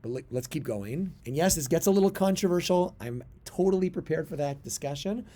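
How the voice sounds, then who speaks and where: A middle-aged man giving an explanatory religious lesson, stressing key words deliberately and often pausing to rephrase. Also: a little high, animated, dark tone. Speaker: religious studies teacher. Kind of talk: explanatory video lesson, reading and translating texts.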